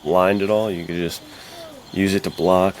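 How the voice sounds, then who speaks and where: Male co-host, deep voice, slangy casual talk, noticeable noise behind him. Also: fairly even intonation, moderate pace, a little mellow. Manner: slangy, laid-back phrasing with "dude" and "like". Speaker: podcast co-host. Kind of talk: casual chat. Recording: clear background noise behind the voice.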